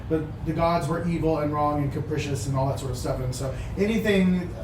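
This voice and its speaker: A man with a fairly high voice talks off the cuff at a tabletop game. He is a little far from the mic, with clear noise around him. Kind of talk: casual, improvised explanation. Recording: roomy, distant mic, clear background noise.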